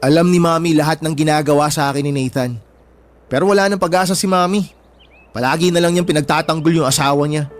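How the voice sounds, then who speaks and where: An actor playing a wounded, bitter younger brother with a Filipino accent in a radio drama, emotional and plaintive. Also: male voice, on the high side, quick pace, natural voice. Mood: resigned. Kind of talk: Tagalog radio drama acting. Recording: background music throughout.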